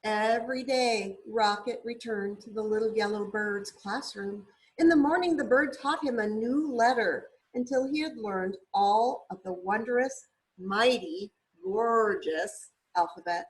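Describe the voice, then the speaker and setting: Slow, high-voiced woman reading a storybook. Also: poor audio. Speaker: storybook reader. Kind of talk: expressive children's read-aloud.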